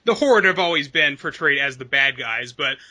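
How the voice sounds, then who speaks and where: A man's voice: mid-range voice, expressive, normal speed, pushed and bright. A man co-hosting a casual gaming podcast, laughing easily and moving the talk along. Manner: laughs easily, steers the topic list. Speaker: podcast co-host. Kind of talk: casual chat.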